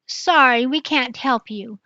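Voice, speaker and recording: A woman's voice: high voice, takes it slowly, sharp tone, no echo, full character voice. An older woman voicing a flock of friendly, chatty birds in a children's storybook. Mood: breezy. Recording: dull lo-fi dry recording.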